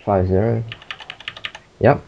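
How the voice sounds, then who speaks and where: A man's voice: on the low side, steady tone, slow pace, vocal fry. A man voicing a flustered, hard-of-hearing foreign old woman who keeps mishearing numbers over a muffled phone line. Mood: confused.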